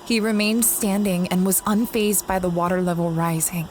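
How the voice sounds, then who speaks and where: A woman's voice: lowish voice, fairly even intonation, normal speed, a little hiss or hum, natural voice. A woman playing a space explorer who recounts a strange expedition in a conversational, first-person audio drama style. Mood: tense, uneasy. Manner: reflective, conversational storytelling.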